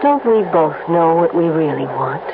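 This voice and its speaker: A woman's voice: low voice, lively intonation. A teasing, seductive mystery woman. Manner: purring, teasing, unhurried. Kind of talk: 1970s radio drama acting. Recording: tinny lo-fi old radio broadcast with loud hiss.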